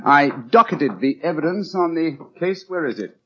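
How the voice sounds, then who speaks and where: An actor playing a brisk, self-assured British gentleman detective with clipped, eloquent delivery in a 1940s radio drama. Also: a little high, lively intonation. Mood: brisk, musing. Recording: muffled old radio recording.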